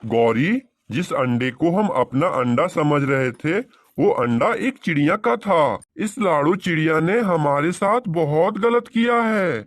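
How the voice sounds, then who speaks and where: A man playing a commanding, excitable male crow husband in a children's cartoon, speaking emphatically. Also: fairly high pitch, a little slow, no room sound. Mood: angry, rejecting. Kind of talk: Hindi children's cartoon dialogue.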